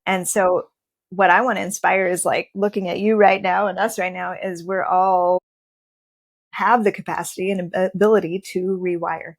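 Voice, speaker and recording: A woman's voice: on the low side, level tone, normal speed, gravelly. A woman co-hosting a podcast, talking in a reflective, encouraging and reassuring way. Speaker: podcast co-host. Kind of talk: supportive, reflective conversation.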